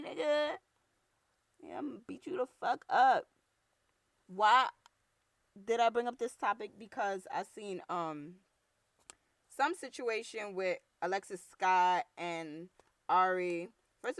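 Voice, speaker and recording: A young woman from New York with a deep, rough voice and a New York accent, hosting her own show with blunt, slangy, heated talk. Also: no echo. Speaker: podcast host. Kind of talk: casual solo commentary.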